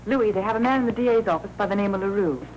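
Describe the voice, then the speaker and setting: A woman's voice: medium pitch, very fast, a little mellow, close to an everyday voice. Breezy woman playing a wisecracking young American noir career gal, faintly noisy vintage film sound. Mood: brisk, alert. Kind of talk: 1940s film noir acting.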